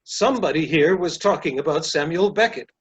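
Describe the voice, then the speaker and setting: A performer playing a blunt, grumpy naysayer in readers theater, curt and deadpan. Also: mid-range voice, brisk, close to an everyday voice. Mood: grumpy, dismissive. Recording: dull, lo-fi sound.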